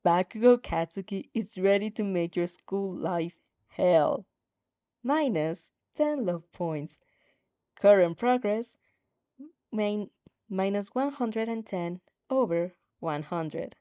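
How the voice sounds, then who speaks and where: A woman's voice: mid-range voice, animated, slow, dark tone, no echo. A woman voicing a bossy, taunting game-like system that prods and announces, in a fanfiction reading. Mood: announcing. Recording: slightly muffled audio with music behind.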